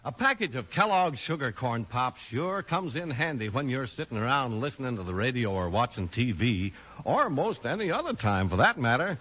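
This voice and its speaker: A man's voice: medium pitch, very animated, normal speed, noisy background. A man announcing and narrating in a hearty, emphatic, polished 1950s radio style. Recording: vintage 1950s radio broadcast. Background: music.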